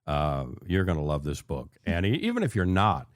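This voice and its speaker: An American man hosting a radio show in an animated, conversational way, with emphatic, repeated phrases. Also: deep voice, normal speed, dry recording, studio quality.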